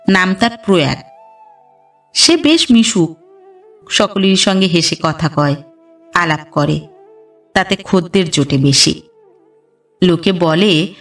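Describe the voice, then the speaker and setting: A woman reads a literary story as a scripted audiobook narration, in a measured storytelling delivery. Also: lowish voice, distant mic. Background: background music.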